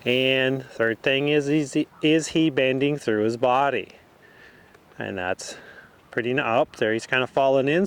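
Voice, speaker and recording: A man giving calm, explanatory riding instruction as he rides in an outdoor arena. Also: medium pitch, smooth. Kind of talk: instructional commentary while riding.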